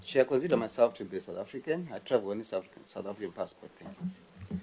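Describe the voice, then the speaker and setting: Hesitant man, low mellow voice. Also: animated, fast, a little hiss or hum. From Zimbabwe. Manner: hesitant, restarting and stammering, formal. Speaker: company chief financial officer. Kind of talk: testimony before a committee.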